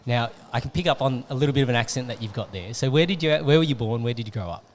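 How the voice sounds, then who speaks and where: A man's voice: mid-range voice, rapid-fire, a little hiss or hum. A man hosting an interview, asking rambling questions full of fillers. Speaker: podcast host. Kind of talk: casual interview chat.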